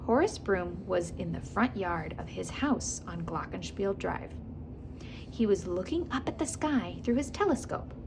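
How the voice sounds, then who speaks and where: A woman's voice: medium pitch, a little slow, gravelly, noisy background. A woman reading a storybook aloud as host, expressively, with asides to the listener. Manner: expressive, acts out characters. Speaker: host of a children's read-aloud.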